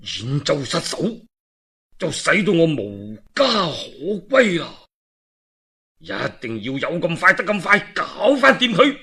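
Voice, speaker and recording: Man acting out a blustering, forceful Cantonese warlord. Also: fairly high pitch, big pitch swings, takes it slowly, exaggerated voice. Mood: alarmed, urgent. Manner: blustering, emphatic. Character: hot-tempered, proud male warlord.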